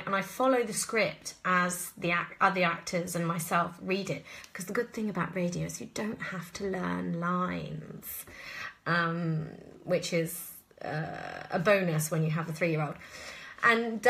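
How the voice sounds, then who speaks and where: A woman in her thirties from southwest England with an English accent, an actress chatting in an expressive, rambling way as she answers questions live. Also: medium pitch. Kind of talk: casual live Q&A chat.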